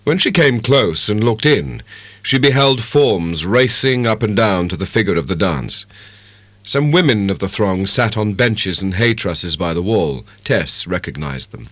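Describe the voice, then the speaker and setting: A man giving a measured, dramatic narration of a classic novel. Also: lowish voice, lively intonation, a bit bright, dry recording. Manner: measured, literary storytelling. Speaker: audiobook narrator.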